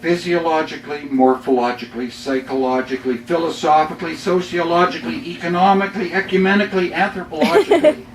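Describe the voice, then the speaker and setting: A middle-aged Canadian man reading his own poems live, with measured, deliberate phrasing and dramatic pauses. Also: on the high side. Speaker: poet reading own work. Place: bookstore reading before a small audience. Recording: roomy, distant mic, faint noise.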